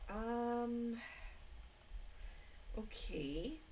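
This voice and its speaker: A woman streamer chatting in character during a roleplay game, thinking aloud with plenty of ums and pauses. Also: on the high side, mellow, a little hiss or hum. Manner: hesitant, thinking aloud with many fillers. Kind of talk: in-character roleplay chat while gaming.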